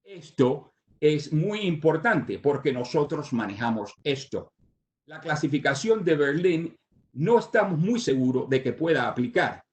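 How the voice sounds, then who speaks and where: An older man born in Cuba and raised in the United States, with a Cuban Spanish accent shaped by English, gives a medical lecture as a surgeon, pausing often on "ah" fillers and restarting his sentences. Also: on the high side, lively intonation, darkish tone. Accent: Cuban Spanish with American English influence. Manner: halting, with many "ah" fillers and restarts. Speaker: surgeon giving a conference talk.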